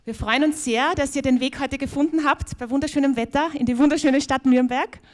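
A middle-aged woman with a Viennese accent welcomes a conference crowd as host. Her voice sits a little high, and she talks freely with plenty of "ähm"s and self-corrections. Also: brisk. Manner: friendly, loose spoken welcome with many fillers and restarts. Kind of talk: opening speech at a conference. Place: conference hall.

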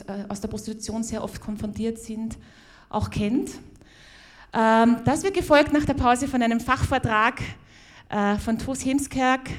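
A middle-aged woman with a Viennese accent opens a conference as host, talking loosely with many fillers and restarts. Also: fairly high pitch. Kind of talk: opening speech at a conference. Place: conference hall.

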